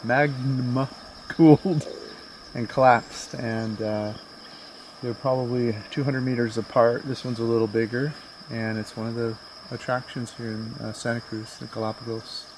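A man's voice: medium pitch, unhurried, slight background noise, rough recording. A middle-aged man giving a casual, rambling tour of an island as a travel vlogger. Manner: relaxed, rambling tour-guide talk. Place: outdoors on a green hillside.

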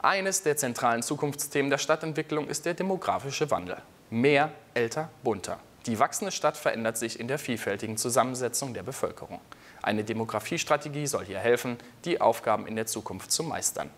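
A man's voice: mid-range voice, lively intonation, brisk, fairly bright tone. Young male studio news presenter.

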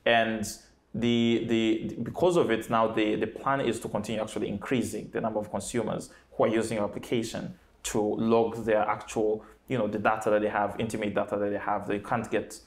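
A man's voice: lowish voice, little pitch movement, fairly quick. A young man, a startup founder, answering questions in an office, with frequent restarts and fillers, on a lapel mic. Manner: hesitant, many restarts and fillers. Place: bright office with plants.

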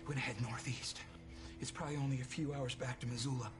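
An actor playing a hard-bitten US marshal on the run in a cinematic video game, gritty and urgent. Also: male voice, medium pitch, fairly even intonation, fast talker, lightly acted. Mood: grim, determined. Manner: gritty, urgent, swearing under his breath. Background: game music.

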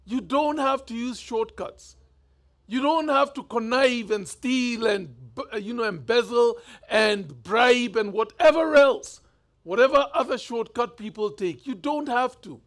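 Kenyan male bishop, 50s, high voice, chatty storytelling sermon. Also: animated, measured pace, a bit bright. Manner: conversational storytelling, gestures freely. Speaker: bishop preaching. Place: church stage indoors.

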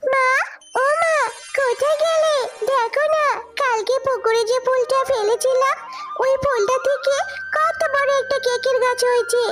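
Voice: extremely high pitch, unhurried, over music. A performer voicing a poor, whiny and eager little girl in a children's cartoon story. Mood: excited.

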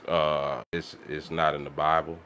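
A man with an extremely low voice chats on a podcast. His talk is casual and slangy, with devout phrasing, and faint noise sits behind him. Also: flat delivery, measured pace, pushed and bright. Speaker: podcast co-host. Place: small indoor podcast studio. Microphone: studio microphone.

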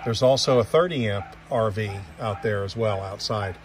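A man's voice: lowish voice, moderate pace, music in the background. A man working as a real estate agent, talking casually and jokily through an unscripted walkthrough tour. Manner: folksy, offhand, jokey.

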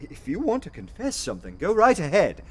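An actor playing a witty, self-assured young poet in a radio play, courteous, dry and eloquent. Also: male voice, high voice, huge pitch swings, a little fast, a little mellow, slightly rough, heavily acted. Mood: teasing, calm. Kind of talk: full-cast radio play acting with first-person narration.

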